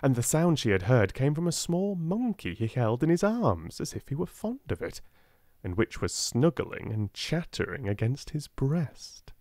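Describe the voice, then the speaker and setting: A man with a British accent reading a storybook aloud in a soft-spoken, gentle, expressive way. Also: medium pitch, a little slow, no echo, studio quality. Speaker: audiobook narrator.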